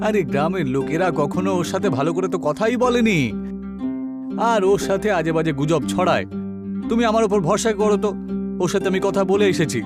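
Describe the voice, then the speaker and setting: Man acting a high-pitched excitable farmer. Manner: emphatic, exclamatory. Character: trusting, excitable hardworking village farmer, male.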